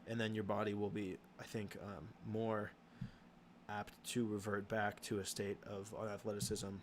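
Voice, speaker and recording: A young man hosting a casual livestream Q and A, answering chat questions in a halting way with many ums and restarts. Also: lowish voice, flat delivery, slight background noise.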